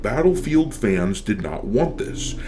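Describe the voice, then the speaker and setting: A man's voice: lowish voice, very animated, slow, dark tone, slight background noise. A man delivering scripted opinion commentary as a channel host, with a blunt, sardonic, profane manner.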